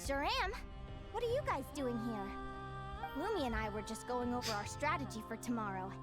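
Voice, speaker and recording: A woman's voice: on the high side, lively intonation, brisk, over loud music, lightly acted. A woman voicing an upbeat, determined young monster tamer who talks in an earnest, encouraging way.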